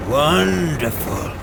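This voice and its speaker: A man's voice: mid-range voice, gravelly, exaggerated voice. A man playing a scornful, exasperated sailor in an audio drama, with a sarcastic delivery. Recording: very loud engine-like background noise.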